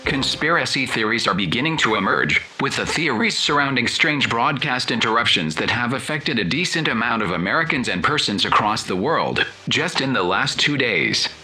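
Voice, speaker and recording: A man acts as a serious TV news anchor with a very bright, forceful edge to his medium-pitched voice. He reads a scripted special report formally, keeping it matter-of-fact. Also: big pitch swings, moderate pace, hardly any pauses, slight background noise.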